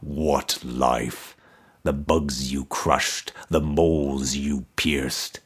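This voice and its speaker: A man's voice: rumbling low, flat delivery, breathy edge, pitched below the performer's own voice, exaggerated voice. A man doing a toneless, commanding dead emperor's skull. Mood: menacing. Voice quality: resonant, toneless. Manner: slow, commanding.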